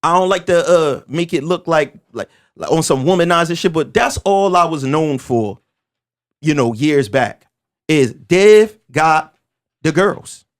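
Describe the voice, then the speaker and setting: A man in his forties with a fairly high voice, holding court on a casual podcast. He talks with emphatic, animated energy, leans on street slang and stumbles into quick restarts before driving his point home. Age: 40s. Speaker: podcast host.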